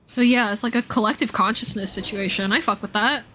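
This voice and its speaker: A woman's voice: on the high side, fairly even intonation, brisk, sharp tone, slight background noise. A woman chatting casually and playfully on a live game stream, with informal, slangy speech. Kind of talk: casual live stream chat over gameplay.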